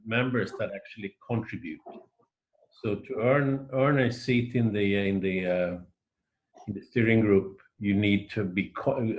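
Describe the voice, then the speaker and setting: Low-voiced man, halting on a conference call. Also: muffled recording. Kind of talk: meeting discussion.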